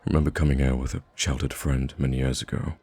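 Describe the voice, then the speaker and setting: Male actor as gentle wounded prince, ASMR roleplay. Bottomless bass, gravelly rasp, halting and wistful delivery. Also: little pitch movement, full character voice. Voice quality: very deep, gravelly, husky. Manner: hesitant, gentle, halting with sighs and stammers. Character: soft-spoken wounded young prince, wistful, gracious, secretly roaming a market.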